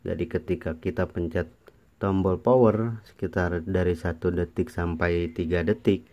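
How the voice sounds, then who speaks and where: A man with an Indonesian accent giving a casual, halting product explainer in an informal, repetitive way, like a vlogger. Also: low-pitched, fairly even intonation, dark tone. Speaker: motovlogger presenting a product explainer.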